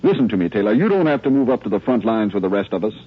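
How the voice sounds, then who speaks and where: A male actor playing an earnest army lieutenant who steadies his men in measured, rhetorical phrases, in a 1950s radio drama style. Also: lowish voice, big pitch swings, husky. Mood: firm, challenging. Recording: muffled old 1950s radio recording.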